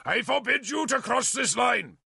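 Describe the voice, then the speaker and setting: A man booming as a fanatical gravelly bishop. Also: animated, normal speed, pushed and bright, no echo, clean studio sound, exaggerated voice. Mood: wrathful. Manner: booming preacher's denunciations. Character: fanatical middle-aged male bishop, booming and wrathful.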